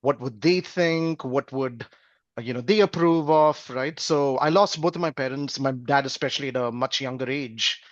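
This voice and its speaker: A man in his forties, originally from Malaysia, speaks as a podcast guest in a reflective, unhurried way, often pausing with fillers. The recording is slightly dull and lo-fi, with a dry, close sound. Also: mid-range voice, no room sound. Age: 40s. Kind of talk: casual interview talk.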